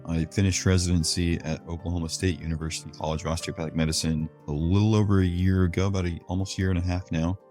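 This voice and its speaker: A man with a very deep, dark voice speaks over a video call as a doctor guest. He is relaxed and plain-spoken, with a flat delivery, and faint music plays behind him. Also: fast talker, hardly any pauses. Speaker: pediatrician panelist. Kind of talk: casual professional talk.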